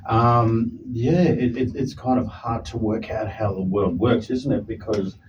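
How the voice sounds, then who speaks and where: A man hosting a radio interview, chatting casually with a relaxed, conversational "you know" style. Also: medium pitch, measured pace, distant mic, highs cut off, background noise. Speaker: radio host. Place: radio studio.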